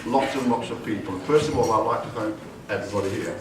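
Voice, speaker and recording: A man's voice: fairly low pitch, fast talker, a crowd in the background. Older father of the bride, echoey and distant. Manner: jokey, rambling, self-correcting. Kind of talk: wedding speech. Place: wedding reception hall. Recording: echoey hall from far off, noisy.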